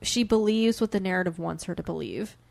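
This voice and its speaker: A woman's voice: fairly low pitch, brisk, vocal fry, a little mellow. A woman co-hosting a podcast, bantering casually about books in a chatty, opinionated way. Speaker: podcast co-host. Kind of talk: casual book-discussion banter.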